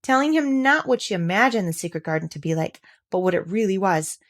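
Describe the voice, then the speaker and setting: A woman's voice: mid-range voice, animated, brisk. A woman reading a classic children's novel aloud as scripted storybook narration. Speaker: audiobook reader.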